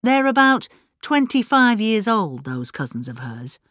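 A woman's voice: medium pitch, sing-song, unhurried, fairly bright tone, no room sound, clean studio sound, pitched above the performer's own voice. A woman voicing a worried, earnest male police inspector in a storybook reading. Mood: pondering, uneasy.

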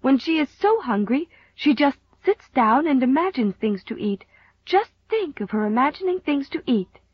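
A woman's voice: mid-range voice, normal speed, slightly rough, no room sound, highs cut off, natural voice. A woman voicing an earnest, dramatic, indignant young girl with a gushing, emphatic manner, in a storybook reading.

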